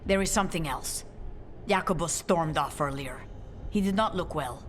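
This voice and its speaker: An actress playing a grave, commanding leader of assassins in a video game, speaking in a solemn, measured way. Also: female voice, low voice, a little hiss or hum, close to an everyday voice. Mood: concerned. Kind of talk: dramatic video game acting.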